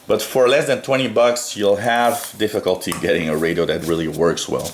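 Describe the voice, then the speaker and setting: A man's voice: medium pitch, a bit bright. A man giving a casual, unscripted product review in an explanatory way, going back over his points. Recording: echoey room with faint background noise.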